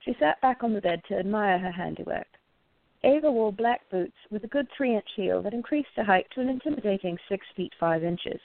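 Female narrator, mid pitch, gravelly creak, scripted storytelling, narrow phone-like sound. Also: lively intonation, brisk, dry recording.

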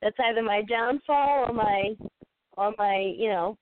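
A woman's voice: medium pitch, unhurried. A young woman with a Boston accent, a caller chatting casually and laughing often on a phone-in show. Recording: dry tinny phone line.

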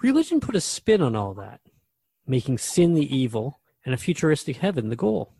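A man's voice: mid-range voice, big pitch swings, brisk, vocal fry, dark tone, slightly rough, no room sound, exaggerated voice. A man playing a thoughtful, curious radio host in a radio drama, questioning a spirit guide in a reflective, conversational way.

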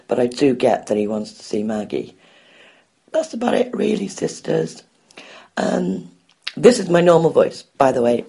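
A woman's voice: extremely low pitch, vocal fry, mellow, slightly rough. A woman from the UK leaving a blunt, playful voicemail for a podcast. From United Kingdom. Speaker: voicemail caller. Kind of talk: recorded voicemail review.

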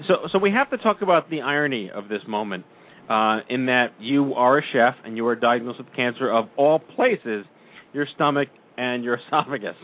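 A man's voice: medium pitch, animated, moderate pace. A man co-hosting a radio show who reads the news with a hyped, playful delivery. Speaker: co-host. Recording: tinny phone-like line with music behind.

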